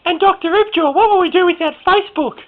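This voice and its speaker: Man putting on a squeaky, very high questioner. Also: fast talker. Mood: earnest, questioning. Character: high-pitched eager questioner worried about food and Facebook. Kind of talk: amateur mock Q&A sketch. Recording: thin phone-like lo-fi sound.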